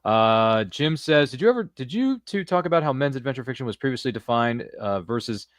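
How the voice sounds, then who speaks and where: Fast-talking American man hosting a podcast. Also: mid-range voice, expressive, dry recording, studio quality. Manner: jokey, slangy. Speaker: podcast host. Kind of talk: casual podcast chat. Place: studio.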